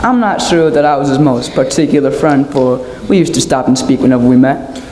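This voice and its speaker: A man's voice: mid-range voice, brisk, a little mellow, lightly acted. A man playing a callous businessman in a live radio play, offhand and dryly amused. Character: callous, joking businessman gossiping. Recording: echoey hall, audience murmur behind.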